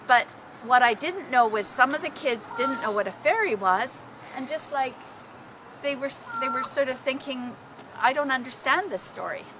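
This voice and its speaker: A woman telling personal stories informally to a group, in an animated, dramatic manner. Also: high-pitched, normal speed, background noise. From Canada. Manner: animated, dramatic, acting out exclamations. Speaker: storyteller.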